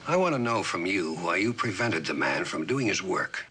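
Faint noise sits under a stern American general, an older man with a forceful, bright voice. He is blunt and admonishing, swinging his pitch for emphasis in classic mid-century TV drama style. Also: animated. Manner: commanding, deliberate, admonishing. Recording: old television soundtrack with faint hiss.

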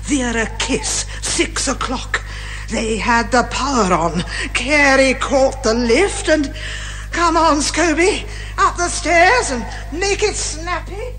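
An actress playing a brisk, commanding older British lady detective in a theatrical radio detective comedy. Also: high voice, expressive, a bit bright, faint music behind, exaggerated voice, poor audio. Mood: urgent, dramatic. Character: bossy, eager older lady amateur detective, a retired major.

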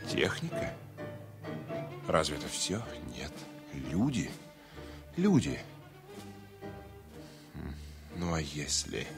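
Deep-voiced anxious passenger in love. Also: male voice, wildly swooping pitch, slow pace, gravelly, long pauses, over music, full character voice. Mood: agitated, brooding. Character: man passenger in love, playful then anxious and self-important. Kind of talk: radio play acting, 1970 Soviet radio drama.

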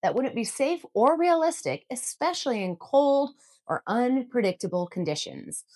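A woman's voice: a little high, very animated, normal speed, darkish tone, husky. A woman in her thirties giving an earnest, emphatic scripted explainer to camera from a room at home. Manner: earnest, emphatic, gestures with both hands. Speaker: outdoor vlogger. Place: home room with bookshelves, indoors.

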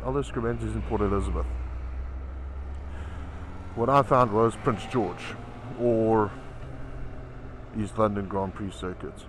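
A man's voice: lowish voice, measured pace, pauses often. Chatty profane man riding a motorcycle. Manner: chatty, profane, self-mocking. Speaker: motorcycle vlogger. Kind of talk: casual rambling travel vlog. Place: on a moving motorcycle outdoors. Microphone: helmet-mounted camera. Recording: loud wind and engine noise.

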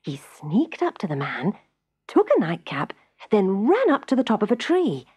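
A woman narrating a scripted children's story, measured and with dramatic emphasis. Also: medium pitch, huge pitch swings, a little mellow, husky.

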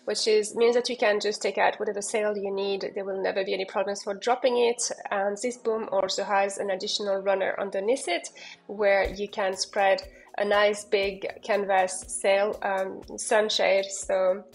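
A woman's voice: medium pitch, level tone, moderate pace, creaky, poor audio. A woman giving an enthusiastic walkthrough of a sailing yacht as a broker, talking loosely with fillers and restarts. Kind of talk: walkthrough narration.

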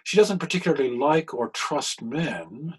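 A man playing an anguished, scholarly clergyman in a period radio mystery, speaking with formal, deliberate diction. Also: on the high side, big pitch swings, breathy edge, exaggerated voice. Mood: thoughtful. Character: anguished, scholarly older clergyman posing as crime boss.

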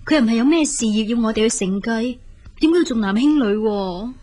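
High-voiced, husky younger sister. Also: female voice, expressive, fairly quick, warm tone, no room sound. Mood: concerned. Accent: Hong Kong Cantonese. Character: regretful, grateful younger sister leaving for university. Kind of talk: radio drama acting.

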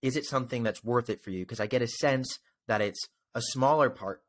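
A man's voice: medium pitch, a little fast. A young man speaking conversationally as a podcast interviewer, close to a desktop microphone on a video call. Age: young adult. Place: indoors at home, on a video call. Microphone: desktop microphone close in front.

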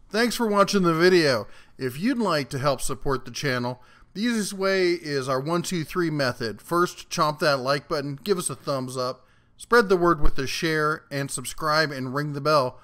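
A man's voice: on the high side, a bit bright. A man hosting a tool review channel, giving a casual, folksy and jokey rundown of a new product as a voice-over.

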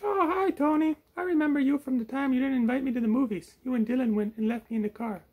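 A man voicing a silly, cheeky, food-obsessed puppet creature in a squeaky, strained falsetto. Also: fast talker, narrow, tinny audio. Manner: excitable, cheeky, teasing. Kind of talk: improvised puppet show.

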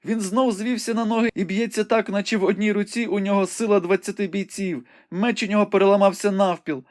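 A man putting on a fervent young woman, high and strained, crying out the fight below. She sounds breathless and exclaiming, each line rising in alarm or awe. The voice sits far above a man's usual register. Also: brisk, darkish tone, close to an everyday voice. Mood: urgent, fervent. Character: young woman watching a battle from a window, fervent. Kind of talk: audiobook reading.

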